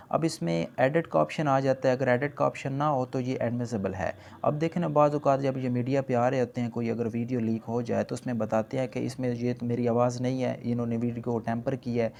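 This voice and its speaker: Gravelly Pakistani man giving a lecture. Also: medium pitch, dark tone, a little hiss or hum. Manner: code-switches into English terms, slow deliberate reading of quoted points. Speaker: law lecturer. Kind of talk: explanatory lecture, reading out points and explaining them.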